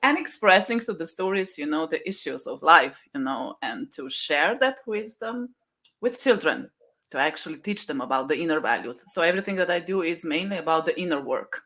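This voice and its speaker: A woman's voice: deep voice, expressive, a little fast, pushed and bright. A woman speaking as a guest over a video call, talking in a flowing way with many "you know" fillers. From Slovenia. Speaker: guest, mindset coach. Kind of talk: casual interview talk.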